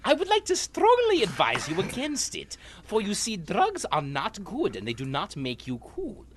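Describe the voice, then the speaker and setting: A woman's voice: medium pitch, huge pitch swings, moderate pace, a little hiss or hum. Lady putting on a pompous earnest skeleton guy, cartoon style, huge swoopy delivery, reading aloud. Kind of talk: comic impression reading a letter.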